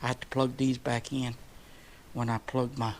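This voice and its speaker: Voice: medium pitch, little pitch movement, moderate pace, heavy background noise. A vlogger giving a casual, rambling update while working on a motorcycle in a shed, joking along in folksy, colloquial grammar. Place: shed workshop.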